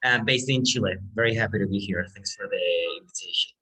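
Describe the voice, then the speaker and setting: A man project coordinator introduces himself briskly and warmly on a video call. He speaks fast, and his voice is bright and forceful. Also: fairly low pitch, husky, poor audio.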